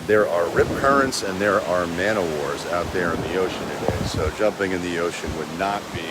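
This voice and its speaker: Man, forties, a bit low-pitched, casual motivational phone vlog at the beach, heavy wind and wave noise. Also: normal speed. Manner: earnest, rambling with fillers. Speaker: real estate agent vlogger. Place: beach at sunrise, outdoors. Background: waves and wind.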